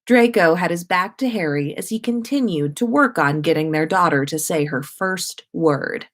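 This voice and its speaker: A woman reading a story aloud as expressive narration, like a host at story time. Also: on the low side, moderate pace. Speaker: host reading listeners' stories on a livestream.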